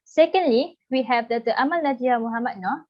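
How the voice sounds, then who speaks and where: A woman introduces panelists on an online webinar, upbeat and formal. Her voice sits slightly high. It comes through dull, lo-fi video call audio. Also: brisk. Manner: upbeat, reads speaker bios. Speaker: webinar moderator.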